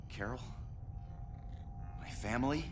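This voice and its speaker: A man's voice: mid-range voice, slow, music in the background, lightly acted. A man playing an earnest, cautious hero in a video game, with a hesitant, pleading way of talking. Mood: anxious, pleading. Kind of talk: dramatic video game acting.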